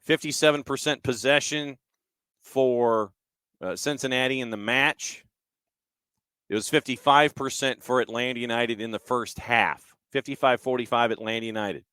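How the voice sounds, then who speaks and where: Clear-voiced man hosting a soccer show. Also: mid-range voice, moderate pace, pushed and bright, dry recording. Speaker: sports show host. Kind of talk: conversational match analysis and stats rundown.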